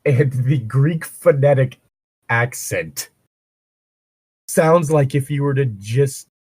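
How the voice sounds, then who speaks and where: A man's voice: medium pitch, slow, a little mellow, husky, dry recording. A man chatting casually between bits of reading fan fiction aloud, rambling and breaking off to comment or swear.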